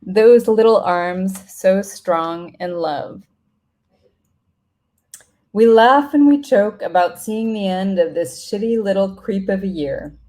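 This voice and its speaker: A middle-aged woman reads a reflective personal letter aloud in a solemn, tender, measured way. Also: medium pitch, darkish tone. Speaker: writer reading own letter aloud.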